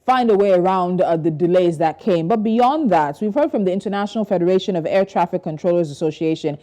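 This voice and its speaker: Mellow-voiced woman presenting the news. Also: fairly low pitch, fast. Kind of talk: news interview, scripted introduction and questions.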